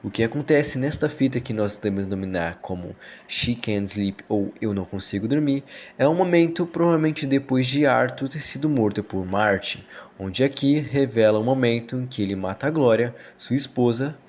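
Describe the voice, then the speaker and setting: A man's voice: medium pitch, normal speed, few pauses, darkish tone. A man with a Brazilian accent narrating a storytelling commentary on a horror series. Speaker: video essay narrator. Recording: music under the voice. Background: background music.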